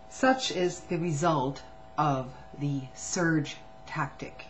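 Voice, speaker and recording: A woman reading a written political statement aloud in a measured, deliberate and formal manner. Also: low-pitched. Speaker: reader of a testimony. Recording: dull, noisy lo-fi recording.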